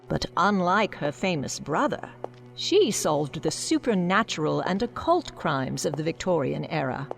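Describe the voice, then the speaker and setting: A woman's voice: low-pitched, big pitch swings, measured pace, gravelly, soft background music, exaggerated voice. A woman playing a mysterious guide, narrating a radio drama in a theatrical storytelling manner. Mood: mysterious, inviting. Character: mysterious female guide narrating dark tales from a crypt.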